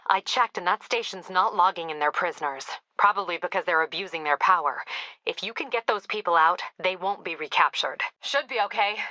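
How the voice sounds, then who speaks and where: A woman's voice: mid-range voice, bright, forceful tone, no echo, rough recording. An American woman playing a tough, driven police captain in a video game, speaking in a clipped, authoritative, dry manner. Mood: businesslike, dry.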